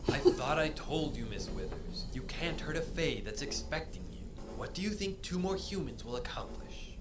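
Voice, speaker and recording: An actress playing a haughty, disdainful fae soldier in an audio drama, with a dry, sneering delivery. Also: female voice, low-pitched, expressive, normal speed, far from the mic, heavy background noise.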